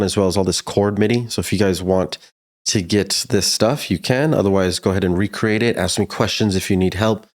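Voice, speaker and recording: A man's voice: low voice, a little mellow. A man giving a casual, slangy music production tutorial in a laid-back but enthusiastic way.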